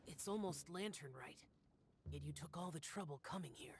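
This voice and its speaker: A woman's voice: on the low side, normal speed, natural voice. A woman voicing an aloof, brooding young male immortal warrior in a video game, terse and solemn. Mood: solemn, reserved. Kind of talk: game cutscene acting. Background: background music.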